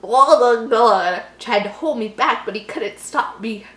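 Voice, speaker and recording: A woman's voice: on the high side, measured pace, sharp tone. A woman reading a horror story aloud and breaking in with sarcastic, exasperated commentary. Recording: roomy echo, faint background noise.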